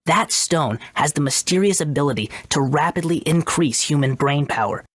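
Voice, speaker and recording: A woman's voice: deep voice, animated, normal speed, no echo. A woman voicing a brainy, self-assured boy who heads a research lab in a video game, matter-of-fact and bossy. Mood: explanatory. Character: confident brainy boy genius, head researcher.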